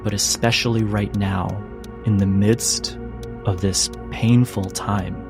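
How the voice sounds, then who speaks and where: A man narrating a tabletop role-playing game as game master, in deliberate, broken-up phrases. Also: fairly low pitch, fairly even intonation, music in the background.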